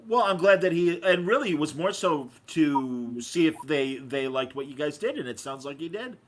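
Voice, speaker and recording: A man's voice: fairly high pitch, animated, normal speed, a little hiss or hum, poor audio. A man hosting a podcast in casual interview chat, with an enthusiastic, effusive manner. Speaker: podcast host.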